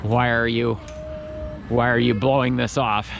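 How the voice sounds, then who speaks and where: A man's voice: medium pitch, little pitch movement, unhurried, creaky, noisy background. A man vlogging as he walks through an outdoor Christmas market, chatting in a rambling way with many restarts. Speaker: travel vlogger. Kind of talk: casual walking commentary.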